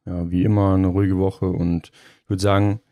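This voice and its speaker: A man co-hosting a casual sports podcast from a German border region, speaking calmly with short agreeing replies. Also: low voice, moderate pace, no room sound, phone-quality sound. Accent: German, western border region. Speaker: podcast co-host.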